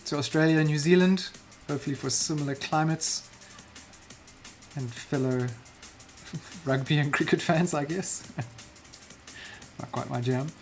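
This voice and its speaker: A man's voice: a little high, unhurried, long pauses, faint music behind. A South African man chatting casually while livestreaming a digital painting, muttering self-deprecating remarks to himself as he works.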